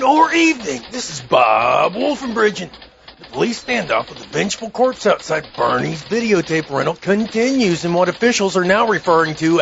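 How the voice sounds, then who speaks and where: An actor playing a bombastic television news anchor in a parody newscast. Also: male voice, sits high, vocal fry, a bit bright, dull audio, a little hiss or hum, heavily acted.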